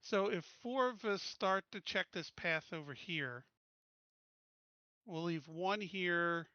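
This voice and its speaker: Man, bright higher voice, casual game player, planning aloud, close dry sound. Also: takes it slowly, clear, resonant tone, no echo, highs cut off.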